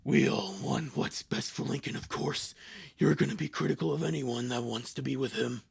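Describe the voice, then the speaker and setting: Man voicing a gravelly, gloomy goth little sister. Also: medium pitch, steady tone, normal speed, pitched below the performer's own voice, heavily acted. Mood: gravely consoling. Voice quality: gravelly, husky. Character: gloomy, deadpan young goth sister consoling her sibling.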